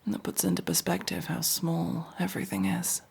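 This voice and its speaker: A man playing a guarded, world-weary elf mercenary, centuries old but young-looking, in an intimate, brooding ASMR fantasy roleplay with a breathy, gravelly, halting delivery. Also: a little high, sing-song, full character voice. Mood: brooding, wistful. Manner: brooding, halting, often dropping to a whisper.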